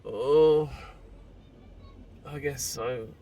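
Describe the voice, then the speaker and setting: A British man playing an emotional husband leaving an abusive marriage, confiding haltingly in a close friend in an intimate, naturalistic audio roleplay. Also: mid-range voice, dark tone. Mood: wistful, sad. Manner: halting, confessional, sighing, informal and sweary.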